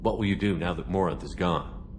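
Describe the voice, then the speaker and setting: Casting: male actor as steady commander for a game, deep register, quick delivery, direct, long reverb and noise around him. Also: lightly acted. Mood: probing, sympathetic. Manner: direct, businesslike. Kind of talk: video game dialogue acting.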